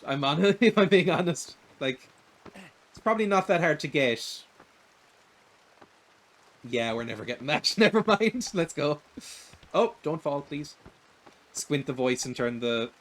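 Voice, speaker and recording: A young man in his twenties with a light, naturally high voice, rambling and laughing at himself while he streams a video game. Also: a bit bright, a little hiss or hum. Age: 20s. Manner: rambling and self-deprecating, laughs often. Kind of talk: casual chat while playing a video game.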